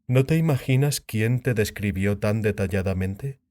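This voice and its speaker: A man's voice: fairly low pitch, normal speed, a little mellow, close to an everyday voice. A man voicing a venomous, mocking half-elf thug in a dramatized fantasy audiobook. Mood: gloating menace. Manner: menacing, mocking.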